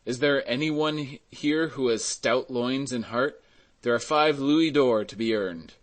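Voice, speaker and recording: Man voicing an urgent, resolute gentleman rallying helpers. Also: medium pitch, measured pace, natural voice. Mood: urgent, insistent. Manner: insistent, commanding, exclamatory.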